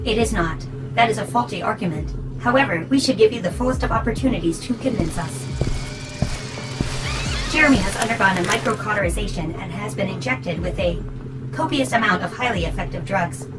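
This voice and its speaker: A woman's voice: mid-range voice, brisk, natural voice, rough recording. Woman acting a polite, coldly logical robot, music behind. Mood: politely menacing. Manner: formal, precise, matter-of-fact. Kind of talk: scripted audio drama acting.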